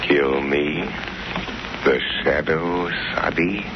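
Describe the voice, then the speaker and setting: Invisible avenging man: extremely deep, slow, mocking menace, old-time radio thriller. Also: steady tone, heavily acted. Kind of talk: 1930s radio drama acting. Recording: noisy dull 1930s radio recording.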